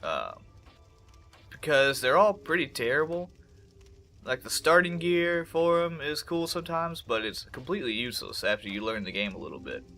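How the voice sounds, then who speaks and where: A man's voice: on the high side, very animated, moderate pace, sharp tone, faint music behind. A young man with a congested voice, swearing and joking casually as he plays a game. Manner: profane, joking, talks back to the game. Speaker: gameplay commentator. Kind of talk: casual let's-play commentary.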